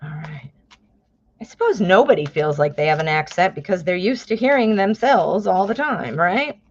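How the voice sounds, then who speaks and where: A woman's voice: on the low side, animated, normal speed, muffled recording. An American woman hosting a live tarot reading stream, chatting with viewers, calling them honey and my love, and explaining the cards. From United States. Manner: chatty, warm endearments, reads viewer names aloud; exclaims and laughs at cards.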